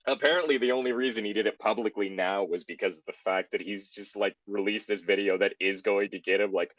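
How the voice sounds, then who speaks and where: A man's voice: fairly low pitch, steady tone, brisk, a bit bright, no room sound. A man hosting an online show in casual, rambling banter, self-deprecating and prone to swearing.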